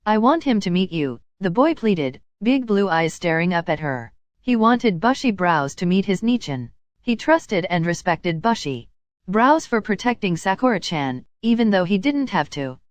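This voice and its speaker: A woman's voice: medium pitch, big pitch swings, unhurried, no echo. A woman narrating a written story aloud, reading both description and dialogue.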